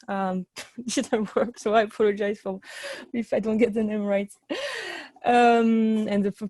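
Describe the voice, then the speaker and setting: A woman's voice: mid-range voice, mellow, dry recording. A middle-aged woman with a French accent, hosting an online wine seminar in a chatty, enthusiastic way full of fillers and restarts, heard over a video call. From France. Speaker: sommelier and webinar host.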